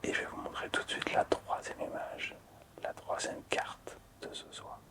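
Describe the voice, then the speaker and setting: Whispering man, ASMR host. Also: normal speed. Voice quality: breathy, unvoiced whisper. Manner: hushed, hesitant, appreciative. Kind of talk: whispered ASMR, casual card showcase.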